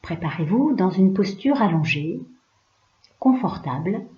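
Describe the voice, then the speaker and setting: Slow, mellow woman guiding relaxation. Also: lowish voice, animated. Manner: hushed, soothing, unhurried instruction with pauses. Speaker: yoga teacher. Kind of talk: guided relaxation meditation. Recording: roomy, slightly dull recording.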